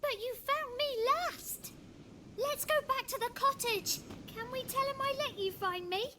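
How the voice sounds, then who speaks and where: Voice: very high-pitched, measured pace, noisy background. A performer playing a cheeky, bold little village child in a video game, with pert, simple rustic phrasing. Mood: playful. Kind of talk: video game cutscene acting. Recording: music behind.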